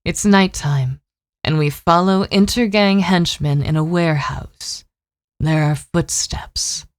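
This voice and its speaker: A woman's voice: lowish voice, takes it slowly, no room sound, studio quality. A woman narrating an audio drama, reading scripted scene descriptions in a composed way.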